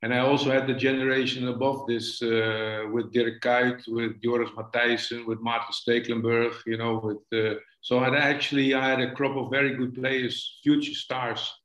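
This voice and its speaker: A man in his sixties with a Dutch accent, a football coach answering interview questions haltingly, often stumbling and repeating words. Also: medium pitch, flat delivery, fairly bright tone. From Netherlands. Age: 60s. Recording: echoey room, dull lo-fi connection.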